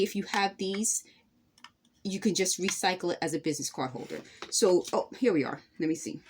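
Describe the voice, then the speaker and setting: Lower-voiced woman giving a casual craft tutorial. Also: breathy edge. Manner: chatty, rambling, explains step by step. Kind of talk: casual hands-on demonstration, talking to viewers.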